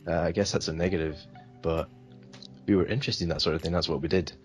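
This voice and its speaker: Hesitant deep-voiced man, low and rambling. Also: fairly even intonation, quick pace, soft background music. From Sweden. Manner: hesitant, rambling, many fillers. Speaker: interview guest. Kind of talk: casual interview answers.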